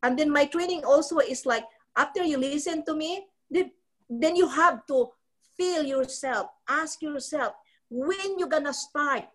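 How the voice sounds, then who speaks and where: A woman's voice: high-pitched, a little slow. A middle-aged Filipino woman giving a motivational business training talk on a live video call from her living room, close to a phone mic, repeating and restarting phrases. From Philippines. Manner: repetitive, restarting, preachy. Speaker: network marketing trainer. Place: ornate living room at home. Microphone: phone close to the face.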